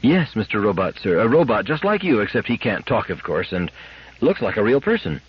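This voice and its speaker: A man's voice: on the low side, sing-song, brisk, husky, highs cut off, full character voice. A man voicing a small, determined girl in a storybook, childlike, very polite and earnest. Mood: eager, earnest. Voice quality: treble, childlike.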